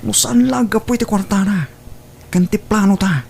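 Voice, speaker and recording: An actor playing a scheming young suitor in an Ilocano audio drama, persuasive and conversational, with an Ilocano accent. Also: male voice, high voice, steady tone, normal speed, creaky, a little mellow, a little hiss or hum. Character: scheming young suitor, smooth-tongued gold digger.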